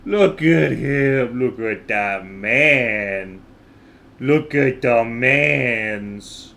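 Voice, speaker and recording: A man's voice: medium pitch, drawn-out words, creaky, bright, forceful tone. A man chatting casually as a game livestreamer, rambling and repeating himself for emphasis.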